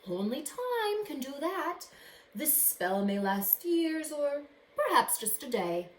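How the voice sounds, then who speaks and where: A woman voicing a stern, menacing male magician in a storybook, with a growling, slow and emphatic delivery. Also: high voice, very animated, pitched above the performer's own voice, exaggerated voice. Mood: booming, dismissive. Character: stern, menacing adult male magician who detests dogs. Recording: echoey room with background noise.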